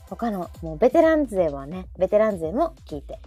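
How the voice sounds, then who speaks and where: Woman, Kansai accent, chatty host, mellow tone, melodic lively intonation, light background music. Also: mid-range voice, fairly quick, slightly rough, dull audio. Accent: Kansai Japanese. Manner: frequent backchannel agreement. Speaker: host of a live audio show. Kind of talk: casual chat.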